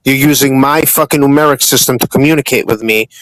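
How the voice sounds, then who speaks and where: A man's voice: mid-range voice, quick pace, fairly bright tone, rough recording. A man in a casual group chat, boasting and ranting with heavy profanity.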